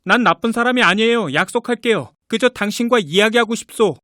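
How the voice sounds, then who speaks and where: A man's voice: sits high, brisk, bright, forceful tone, no echo, studio quality. A man voicing a confident, kind young prince in a children's fairy tale, earnest and assured. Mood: pleading.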